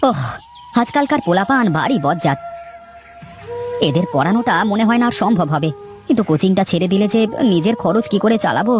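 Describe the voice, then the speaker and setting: A woman's voice: mid-range voice, animated, fairly quick, close to an everyday voice. A woman voicing an earnest, dutiful young man, a village tutor, in a cartoon. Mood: worried. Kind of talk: Bengali cartoon character acting. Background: faint music.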